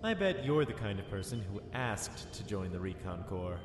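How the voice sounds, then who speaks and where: A reserved but friendly lieutenant acted by a man in a sci-fi drama. He speaks low with lively melody, gently probing. The sound has long echo and clear background music. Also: moderate pace. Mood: curious, probing. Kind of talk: fan sci-fi drama acting. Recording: echoey reverb with music underneath.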